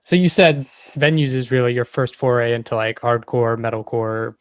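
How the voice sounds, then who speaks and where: A man's voice: medium pitch, level tone, moderate pace, no room sound. Dry close-sounding male podcast host. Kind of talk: casual interview.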